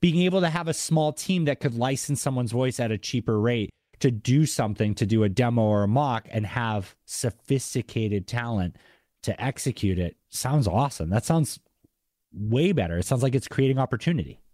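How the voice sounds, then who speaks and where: Male podcast host, light Boston accent, mid-range pitch, enthusiastic and chatty, very dry recording. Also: expressive, moderate pace, mellow, no room sound. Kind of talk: casual interview chat.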